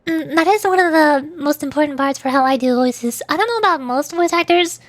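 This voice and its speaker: A woman's voice: sits high, brisk, no room sound. A woman streamer chatting casually with viewers in a playful, cutesy manner. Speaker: streamer and voice performer. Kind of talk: casual livestream chat, answering viewers.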